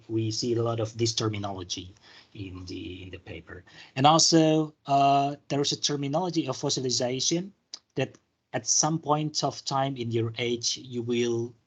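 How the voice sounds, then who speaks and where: A man from Indonesia at a middle pitch with a husky, slightly breathy voice gives a relaxed university lecture. He thinks aloud, trails off into fillers and restarts sentences as he explains ideas. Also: moderate pace.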